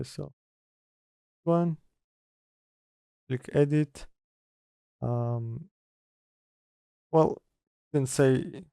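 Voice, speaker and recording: A man presenting an informal software tutorial, halting as he reads steps from notes and mutters through them. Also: mid-range voice, creaky, no echo, studio quality. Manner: halting, mutters step by step while working. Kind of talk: informal screen-recorded software tutorial, partly read from notes.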